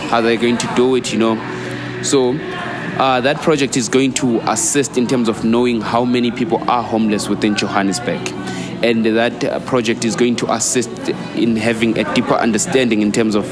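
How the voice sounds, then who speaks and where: A South African man talking as a guest in a casual interview, wandering through his points with frequent "you know" and restarts. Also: medium pitch. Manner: rambling, fills pauses with "you know" and restarts. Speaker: guest, student volunteer.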